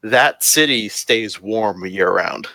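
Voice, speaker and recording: A man's voice: lowish voice, slow, bright, forceful tone, dry recording. A man running a tabletop game as game master, explaining the world in a loose, filler-heavy way with casual swearing.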